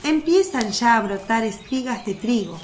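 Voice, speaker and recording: A woman's voice: a little high, animated, normal speed, slight background noise. A woman reading scripted narration for a religious radio drama.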